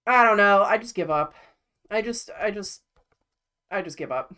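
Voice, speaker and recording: A woman's voice: mid-range voice, moderate pace, noisy background. A woman streamer giving blunt, profane, exasperated reaction commentary.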